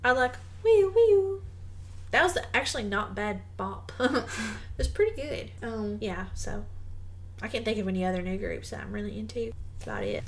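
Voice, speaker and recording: A woman's voice: medium pitch, expressive, normal speed. A woman from the American South with a thick Southern drawl, co-hosting a podcast in casual chat, chatty and laughing often, tripping over names. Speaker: podcast co-host.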